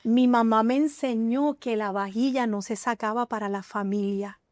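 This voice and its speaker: Earnest woman sharing her testimony, somewhat high. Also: normal speed, darkish tone, no room sound, studio quality. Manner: emotional, earnest, hesitant with many fillers. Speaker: guest. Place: TV studio.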